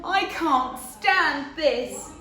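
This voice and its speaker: A worn-out storybook father bear, acted out by a woman, exasperated and groaning. The words are stretched very slowly in a high, swooping voice. The room around it echoes. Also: expressive, sharp tone, exaggerated voice. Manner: drawn-out, groaning complaint.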